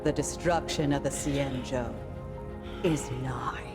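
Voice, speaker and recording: A woman voicing a smug, theatrical female villain in a video game cutscene, taunting with drawn-out words. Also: extremely low pitch, steady tone. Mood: mocking. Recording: loud music under the voice. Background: loud music.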